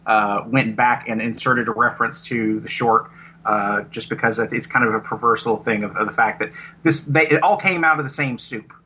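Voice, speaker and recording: A man with a forceful, bright voice at an average pitch talks casually as an interview guest. He is halting, doubling back on words as he goes. The recording is a little dull and lo-fi. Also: steady tone, breathy edge. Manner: halting, often repeats words and restarts. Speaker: radio drama writer and producer, interview guest.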